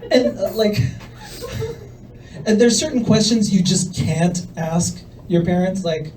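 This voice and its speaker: A young man from El Salvador doing a stand-up set in a comedy club, with a soft voice and a hesitant, deadpan delivery full of fillers. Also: sits high, takes it slowly, slight background noise. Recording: voice from far off the mic.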